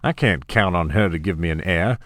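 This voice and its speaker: Man playing a deep-voiced, domineering old oil tycoon. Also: brisk, a bit bright, no room sound, studio quality. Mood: defensive, scheming. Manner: smoothly commanding, patronizing. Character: scheming, domineering ageing oil tycoon and family patriarch. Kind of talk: audio drama acting.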